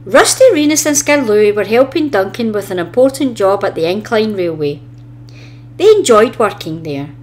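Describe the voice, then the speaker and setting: A woman's voice: medium pitch, expressive, normal speed, a little mellow. Woman reading a children's storybook aloud. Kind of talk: expressive scripted children's story narration.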